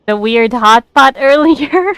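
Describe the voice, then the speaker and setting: A woman's voice: high voice, expressive, takes it slowly, a bit bright, clear, resonant tone, no room sound. A woman giving casual commentary as she plays through a video game. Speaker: game streamer.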